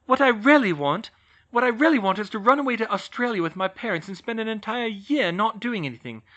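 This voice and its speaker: Brief: an overworked young witch, loud, high and strained, swinging wildly in pitch. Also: lively intonation, fairly quick. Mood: distraught. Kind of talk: audiobook reading of fan fiction. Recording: slightly muffled recording.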